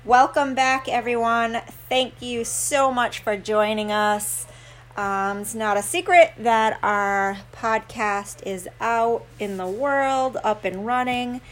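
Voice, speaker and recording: A woman with a Rhode Island accent co-hosting a casual podcast, chatty and quick to laugh, with plenty of fillers. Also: a little high, fairly bright tone. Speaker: podcast co-host. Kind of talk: casual chat.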